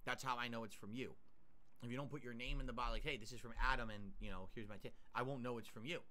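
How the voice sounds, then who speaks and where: A man's voice: mid-range voice, steady tone, quick pace, pushed and bright. A man streaming live, chatting casually as he eats and reads out viewer tips and thanks. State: mouth burned, nasal drip. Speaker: livestreamer.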